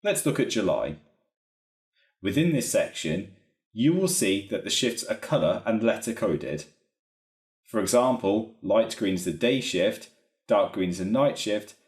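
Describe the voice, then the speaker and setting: A man narrating a software training walkthrough in a methodical, explanatory way. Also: on the low side. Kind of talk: instructional screen-recording narration. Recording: roomy, echoey voice recording.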